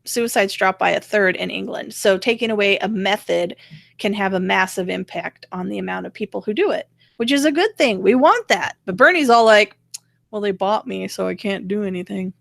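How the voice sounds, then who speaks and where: A woman's voice: mid-range voice, normal speed, creaky, no room sound. A woman giving casual political commentary, explaining her opinions in a wry, conversational way. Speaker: co-host of political rant show.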